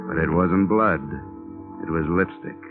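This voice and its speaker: Wisecracking investigator man, low dry voice, old radio drama, tinny broadcast. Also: a little slow, over music. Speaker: narrator and lead. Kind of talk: 1950s radio drama acting.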